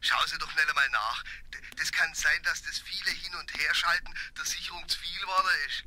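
An actor playing a brisk, helpful, matter-of-fact mechanic, heard through a telephone in an audio play. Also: male voice, fairly high pitch, steady tone, bright, forceful tone, poor audio. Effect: telephone filter. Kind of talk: German children's audio play acting.

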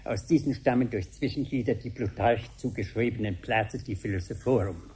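A fussy, grumbling gardener played by a man in a 1970s radio play. His voice sits rather low and he lectures pedantically, reciting Latin names. A faint noise lies on the old recording. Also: normal speed, darkish tone, natural voice. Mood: pedantic. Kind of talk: 1970s German radio drama acting.

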